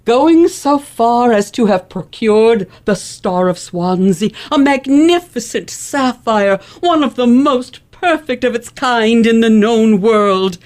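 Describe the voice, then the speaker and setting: A woman's voice: a little high, expressive, slow, hardly any pauses, darkish tone. Woman doing a haughty victorian society lady for a radio play, pompous, boastful, every word carefully pronounced. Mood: indignant, grave. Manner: pompous, grandiose, precise enunciation. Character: haughty, wealthy, indignant lady elocutionist of 1870s New England. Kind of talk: old-fashioned radio play acting.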